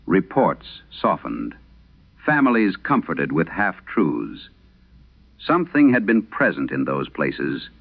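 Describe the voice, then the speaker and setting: Deep, gravelly old priest exorcist played by an actor. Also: male voice, no echo, heavily acted. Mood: dread, brooding. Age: older. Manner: solemn, measured, confessional storytelling. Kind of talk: dramatic first-person horror radio monologue. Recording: dull lo-fi vintage radio sound.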